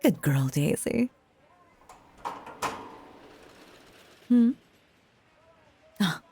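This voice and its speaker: A woman playing a seductive, possessively doting female stage magician in an intimate audio roleplay, theatrical and teasing with a soft, breathy voice. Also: lowish voice, very animated, slow, music in the background, exaggerated voice. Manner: theatrical, flirtatious, silky and teasing.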